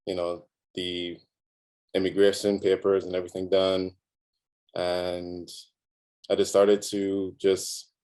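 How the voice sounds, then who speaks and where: Low, flat-voiced man from Barbados. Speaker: guest artist. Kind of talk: conversational self-introduction.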